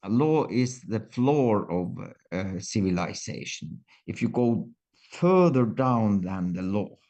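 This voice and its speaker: Slow gravelly older man, halting. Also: fairly low pitch, animated, warm tone, highs cut off. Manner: halting, many fillers and restarts. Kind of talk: reflective interview answers.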